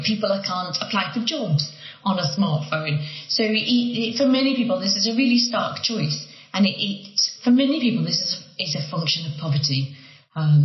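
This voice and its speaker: A woman's voice: medium pitch, highs cut off. Earnest woman in an echoey room. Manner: earnest, with many restarts. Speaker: academic interviewee. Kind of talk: interview answer.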